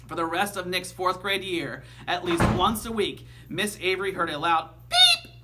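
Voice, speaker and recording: A man reading a children's story aloud like a teacher, with animated, emphatic storytelling. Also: sits high, normal speed, very noisy.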